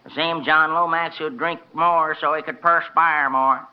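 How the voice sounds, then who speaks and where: A man's voice: on the high side, steady tone, measured pace, close to an everyday voice. A man playing a quaint, wisecracking bathhouse attendant with a folksy drawl in an old radio drama. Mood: wry, rambling. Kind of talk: old-time radio character acting. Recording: muffled vintage radio recording.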